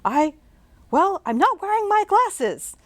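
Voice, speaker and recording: A woman voicing a shy, gentle, lonely young male ghost from a picture book, soft, polite and hesitant. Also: extremely high pitch, sing-song, slow pace, no echo, pitched above the performer's own voice, full character voice.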